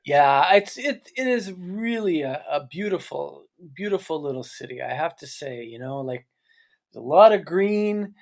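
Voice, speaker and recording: A man, a guest on a podcast, talking on a call from his office in a halting way, full of restarts. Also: on the high side, animated, creaky. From Canada. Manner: halting, with restarts and "you know, like". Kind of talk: casual interview on a call.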